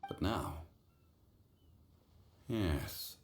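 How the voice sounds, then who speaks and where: A man voicing a solemn, reflective ancient being in a video game, speaking with measured, formal eloquence. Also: low voice, big pitch swings, creaky, echoey room, heavily acted. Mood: contemplative. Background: game music.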